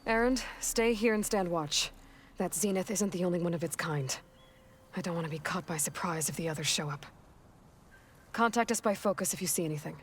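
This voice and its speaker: A woman's voice: lowish voice, fairly quick, slight background noise, lightly acted. An actress voicing a determined young woman hunter in a video game cutscene, speaking in a focused, decisive and analytical way. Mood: commanding.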